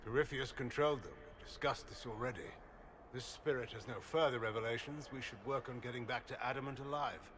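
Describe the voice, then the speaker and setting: A stern, clipped man's voice, an actor's, flat and dismissive in a middle register. Ambient game noise hums beneath. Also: mid-range voice, fairly quick, natural voice. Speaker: warden companion.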